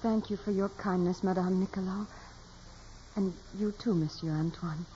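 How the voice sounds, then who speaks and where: An actress playing an earnest, devout teenage peasant girl in a reverent, gently insistent manner, in 1940s radio drama style. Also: on the low side, fairly even intonation, moderate pace, a little hiss or hum, lightly acted. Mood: calm, gentle. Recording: muffled old radio recording, music behind.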